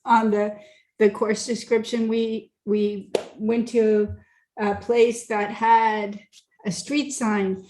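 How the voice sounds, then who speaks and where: A woman's voice: medium pitch, level tone, takes it slowly, background noise. A woman hosting a talk show in a casual, chatty way, sometimes stumbling over her words. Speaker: host. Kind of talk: casual radio chat.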